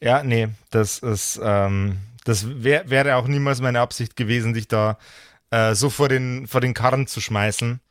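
A man of medium pitch talks casually and with dry humor. He is blunt and sweary, circling back over his words, in a close recording free of room sound. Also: steady tone, measured pace, no room sound. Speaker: player on a role-play podcast. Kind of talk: casual chat.

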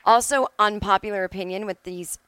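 A woman's voice: mid-range voice, brisk, fairly bright tone, smooth, no room sound. A middle-aged woman hosting a solo podcast, talking casually and bluntly, with emphatic, sweary opinions. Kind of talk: casual opinionated monologue, ranting. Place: at home.

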